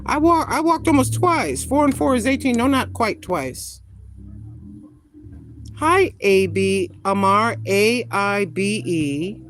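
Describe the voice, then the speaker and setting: A woman in her sixties chatting casually with viewers on a live stream, greeting them by name and talking her thoughts through out loud. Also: mid-range voice, expressive, slow pace, a little hiss or hum. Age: 60s. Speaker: vlogger greeting live chat.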